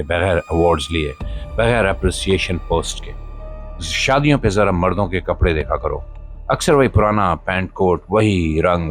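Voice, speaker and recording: A man narrates a scripted spoken-word monologue in a solemn, emphatic, rhetorical manner, with short pauses between phrases. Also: low-pitched. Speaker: podcast host and voiceover narrator. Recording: voice-over with music behind.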